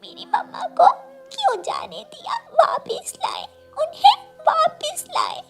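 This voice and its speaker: A tiny girl bird pipes up in an extremely high cartoon voice. She sounds childlike, whiny and stubborn, with music playing behind her. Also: huge pitch swings, slow pace, gravelly, exaggerated voice. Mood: distressed. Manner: childlike, whining delivery. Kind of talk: Hindi cartoon character acting.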